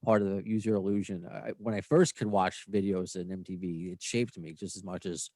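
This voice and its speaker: A man's voice: sits low, little pitch movement, fairly quick, a little mellow, no room sound. A man in his late thirties hosting a podcast, talking in a rambling way with many restarts. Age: 30s. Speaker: podcast host. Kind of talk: casual podcast chat.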